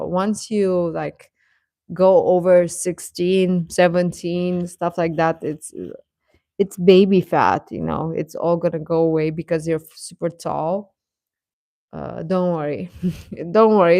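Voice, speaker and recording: A woman's voice: fairly low pitch, darkish tone, no room sound, studio quality. A woman chatting casually as a livestream host, talking directly to viewers and often repeating her phrases.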